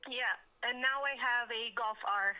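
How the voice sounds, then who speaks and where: A woman in her late twenties with an American accent, speaking as a prize winner on a phone call, friendly and quick to laugh. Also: on the high side, fairly even intonation, crowd noise. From United States. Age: late 20s.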